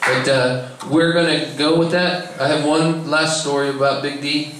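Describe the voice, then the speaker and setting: A man's voice: fairly high pitch, little pitch movement, moderate pace, fairly bright tone. A middle-aged man hosting an open mic, telling a casual personal story to the audience. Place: bar lounge with audience. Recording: echoey bar, distant mic, crowd noise.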